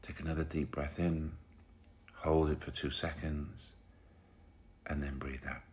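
A man leading a guided meditation in a soothing, unhurried way, pausing between short phrases. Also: extremely low pitch, flat delivery, darkish tone.

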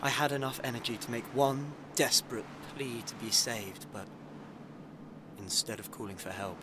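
A man's voice: mid-range voice, steady tone, moderate pace, noisy background, lightly acted. An actor playing an awkward, earnest, friendly man in an audio play, speaking a little hesitantly. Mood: wistful, hushed. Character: awkward, earnest, friendly man called Dave, puzzled by a stranger. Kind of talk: naturalistic audio play acting.

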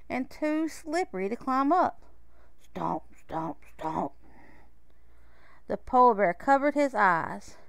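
Unhurried, clear-voiced woman reading a storybook. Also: mid-range voice, dry recording. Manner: measured, expressive storytelling with pauses. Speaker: storybook reader. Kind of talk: children's picture-book read-aloud.

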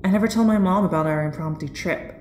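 A woman's voice: fairly low pitch, fast, a little mellow, reverberant, a little hiss or hum, close to an everyday voice. An actress playing a frank, supportive teenage girl hero in an audio drama, chatty and direct. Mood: frank, friendly.

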